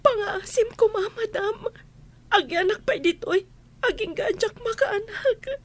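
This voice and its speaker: A woman's voice: very high-pitched, a little slow. A woman with an Ilocano accent playing a meek, plaintive young housemaid in a radio drama. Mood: tearful pleading. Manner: plaintive, tearful, submissive. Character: meek, pleading young housemaid being cast out.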